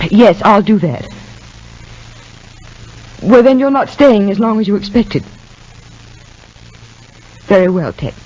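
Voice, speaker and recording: A woman's voice: mid-range voice, mellow, slightly rough. An actress playing a shaken, worried mother in a 1950s radio soap opera, speaking in an earnest, fretful way. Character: anxious, shaken mother worried about her young son. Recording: dull, muffled vintage radio recording.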